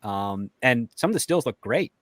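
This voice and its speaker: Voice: mid-range voice, lively intonation, normal speed, a bit bright, dry recording, studio quality. A panelist on a talk show, chatting casually about films and gossip.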